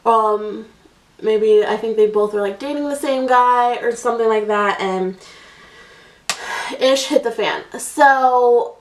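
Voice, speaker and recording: A woman in her twenties chats away about her life while doing her makeup, her talk full of "like" and "um". Her voice sits a little high. It is picked up from a few feet off in an echoey room. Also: slow. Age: 20s. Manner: chatty, rambling, many "like"s and fillers. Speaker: beauty vlogger. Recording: echoey room, voice a bit distant.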